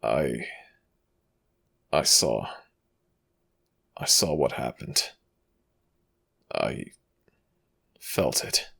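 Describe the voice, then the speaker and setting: Ancient egyptian god for asmr, super slow and raspy, protective, formal, murmured right to you. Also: male voice, rumbling low, exaggerated voice. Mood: soothing, solemn. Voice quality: gravelly, breathy rasp. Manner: slow, hushed, formal archaic phrasing. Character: ancient Egyptian death god, tender protector, quietly vengeful. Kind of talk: ASMR audio roleplay, intimate murmured acting.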